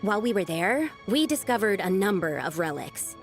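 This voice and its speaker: A woman's voice: mid-range voice, very animated, moderate pace, faint music behind. A woman voicing an earnest, polite young scholar in a video game, sincere and a little timid. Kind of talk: English video game voice acting.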